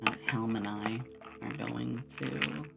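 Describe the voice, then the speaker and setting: A woman's voice: rumbling low, slow, gravelly, over loud music. A woman chatting casually on an ASMR livestream, rambling with playful asides, close on a binaural microphone. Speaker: streamer and game master.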